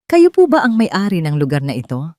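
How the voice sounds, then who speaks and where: Woman acting as arrogant rich man, blunt, clipped, commanding, low dark voice, audio drama. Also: wildly swooping pitch, fairly quick, no echo, clean studio sound. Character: curt, arrogant male billionaire, coolly commanding.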